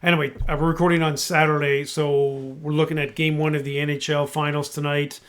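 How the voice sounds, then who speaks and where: A man's voice: fairly high pitch, level tone, fairly quick, fairly bright tone, clear, resonant tone. A man co-hosting a podcast, teasing his partner and giving opinionated sports takes. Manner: teasing, opinionated, asks leading questions. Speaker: podcast co-host. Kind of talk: casual sports chat.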